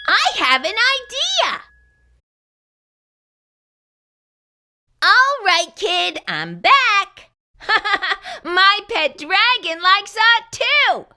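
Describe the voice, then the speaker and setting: A woman's voice: very high voice, big pitch swings, drawn-out words, pushed and bright, heavily acted. A woman voicing a grumpy, short-tempered storybook character, scolding dramatically as in a children's read-aloud. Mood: scheming.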